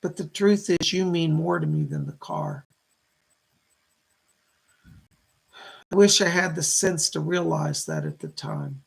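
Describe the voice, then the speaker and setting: A man voicing a weak-voiced, remorseful male spirit in a trance séance, halting, earnest and tender, with a breathy, gravelly voice. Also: a little high, big pitch swings, full character voice, low-quality recording.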